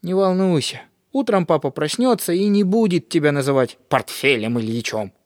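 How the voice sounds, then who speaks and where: An actor voicing a soft-hearted, grumbling little dream spirit in a children's fantasy audio play, with a sighing, expressive manner. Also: mid-range voice, normal speed, no echo, studio quality, natural voice. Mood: reassuring, wry.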